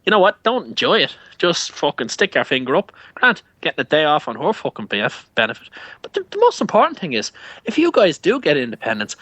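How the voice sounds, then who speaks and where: A man's voice: high voice, a bit bright, no echo. A man with an Irish accent, ranting heatedly and full of swearing in a casual show chat. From Ireland. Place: Canada.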